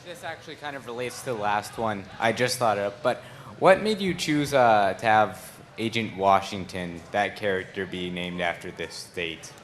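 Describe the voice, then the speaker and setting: A man's voice: on the low side, moderate pace, a bit bright, a little hiss or hum. A man in the audience putting a casual, unscripted question to a panel. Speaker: audience member asking a question. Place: convention panel room.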